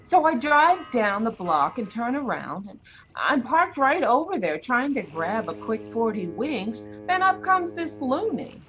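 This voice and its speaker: Excitable streetwise female cabbie, highish animated voice, slangy radio-play delivery, slightly dull sound. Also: measured pace, over music. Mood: indignant, recounting. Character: feisty, flustered female cab driver with slangy streetwise talk. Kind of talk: 1940s radio drama acting.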